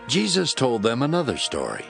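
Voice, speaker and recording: Expressive male scripture narrator. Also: mid-range voice, normal speed, gravelly, over music.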